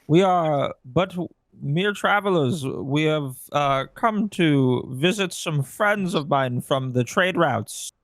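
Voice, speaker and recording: A man's voice: on the high side, expressive, takes it slowly, no echo. Mock-formal man speaking in character. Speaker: tabletop game player. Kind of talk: in-character speech in a tabletop game.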